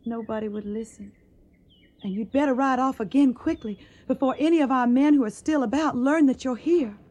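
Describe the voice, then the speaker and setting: A woman's voice: high voice, normal speed, a little mellow. An actress playing a tender, troubled and stubborn young Tennessee woman in a Civil War radio drama, speaking earnest, wistful, folksy lines with a Southern accent. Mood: urgent, worried.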